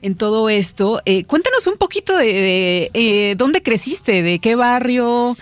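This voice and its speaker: A woman's voice: a little high, sing-song, measured pace, no room sound. A woman hosting a casual radio interview, chatty and full of tag questions. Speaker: radio host.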